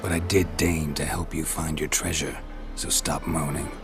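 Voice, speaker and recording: A man voicing a gruff, laconic monster hunter in a video game, gravelly and deadpan, with terse sardonic lines. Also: rumbling low, moderate pace. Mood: dry, irritated. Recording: music behind the dialogue.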